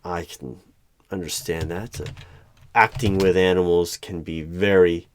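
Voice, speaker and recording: Deep-voiced male interviewer, halting and probing. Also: steady tone. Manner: deliberate, halting, questioning, with pauses. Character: eerie, probing male hiring manager of a secretive bureau. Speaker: hiring manager interviewer in a solo roleplay. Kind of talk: one-sided job interview roleplay, ASMR. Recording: background noise with keyboard typing and clicks. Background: computer keyboard typing.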